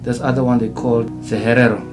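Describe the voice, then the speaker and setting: A staff member, a man whose first language is Afrikaans, giving an unhurried interview answer and listing languages with examples. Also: mid-range voice, level tone. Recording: loud music behind the voice.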